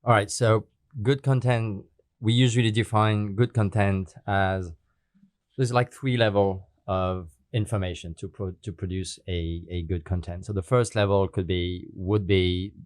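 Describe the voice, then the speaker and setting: Deep-voiced man explaining in careful second-language English. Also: fairly even intonation, measured pace, dry recording, studio quality. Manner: explanatory, non-native phrasing with frequent fillers. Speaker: guest expert. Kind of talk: podcast interview.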